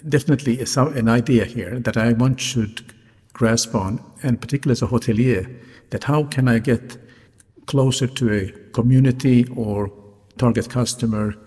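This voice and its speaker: A man who is a non-native English speaker hosts a solo podcast, articulating carefully and deliberately in a slightly raspy voice. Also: medium pitch, warm tone. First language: not English. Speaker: podcast host, hotelier. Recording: reverberant hall-like sound, music behind.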